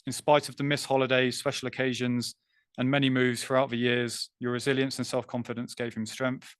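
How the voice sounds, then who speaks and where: A man serving as master of ceremonies, reading formal announcements in a measured way. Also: mid-range voice, little pitch movement, dry recording, studio quality.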